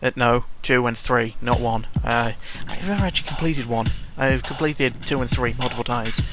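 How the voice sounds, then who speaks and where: A man's voice: mid-range voice, moderate pace. A young man ranting casually about video games, exasperated and full of fillers. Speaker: gaming vlogger. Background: video game music.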